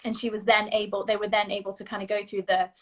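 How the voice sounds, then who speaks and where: A woman's voice: mid-range voice, little pitch movement, fast, a bit bright. A woman chatting casually as co-host, telling a story in loose, rambling phrasing.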